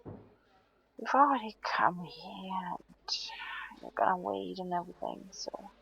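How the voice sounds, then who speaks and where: A woman playing a tired, stubborn but playful patient in an intimate audio roleplay, murmuring sleepily and haltingly, often close to a whisper. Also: lowish voice, animated, a bit bright, lo-fi sound, slight background noise, heavily acted. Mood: drowsy grumbling. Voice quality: breathy, often dropping to a whisper.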